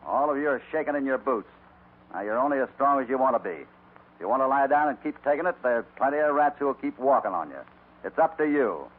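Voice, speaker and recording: An actor playing a cool-headed, commanding cowboy hero in a 1950s radio western, speaking in a measured, decisive way. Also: male voice, medium pitch, animated, lightly acted. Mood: firm, challenging. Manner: measured, decisive, takes charge. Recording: old noisy narrowband radio recording.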